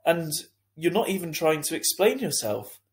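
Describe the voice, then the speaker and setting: A man playing an earnest, lenient school head in a children's story, reasoning in a measured, hesitant way. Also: on the high side, big pitch swings, pitched above the performer's own voice. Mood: perplexed.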